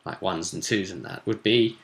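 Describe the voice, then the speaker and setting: A man's voice: sits low, moderate pace, vocal fry, fairly bright tone. A man talking informally as a game master, explaining rules and recapping results with enthusiasm and chatty asides. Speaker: game master recapping a tabletop role-playing session.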